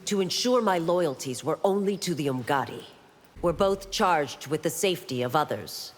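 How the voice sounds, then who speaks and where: Stern warrior constable, cavernous reverb. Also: female voice, low voice, animated, moderate pace. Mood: stern, formal. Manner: formal, firm, authoritative. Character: stern, dutiful female martial-arts warrior constable. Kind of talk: video game character dialogue. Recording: long hall-like reverb.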